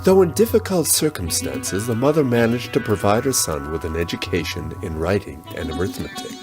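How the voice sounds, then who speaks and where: A man's voice: fairly low pitch, lively intonation, a little fast. A man storyteller reading a scripted folk tale for children, with guitar music behind him.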